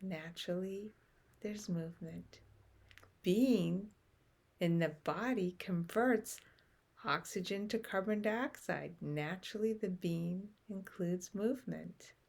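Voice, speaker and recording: A woman guides a meditation at a very unhurried pace, leaving long pauses between short, gentle phrases. Her voice sits fairly low and carries a noticeable gravelly fry.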